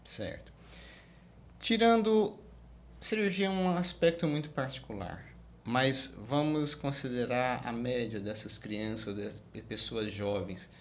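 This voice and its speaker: A middle-aged man hosts a conversational interview. His mid-pitched voice moves in short, measured phrases toward long questions. A faint noise sits behind him. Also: a bit bright. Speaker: interview host.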